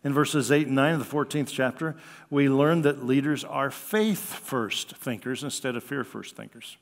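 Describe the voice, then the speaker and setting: An older American man preaching a conversational sermon, with an animated delivery that acts out quoted remarks. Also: medium pitch, moderate pace. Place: church sanctuary at a lectern, indoors. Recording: reverberant hall.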